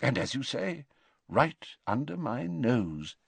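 A man voicing a wise, gentle, very old male wizard headmaster who speaks mildly and calmly. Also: mid-range voice, slow, vocal fry, husky, no room sound, voice pushed up, heavily acted. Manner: mild, soft-spoken and calm.

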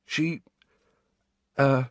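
A man's voice: extremely slow, no echo. Man voicing an awkward, hesitant teenage boy. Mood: hesitant, subdued. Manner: hesitant, defensive. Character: awkward, unsure, sheepish teenage schoolboy.

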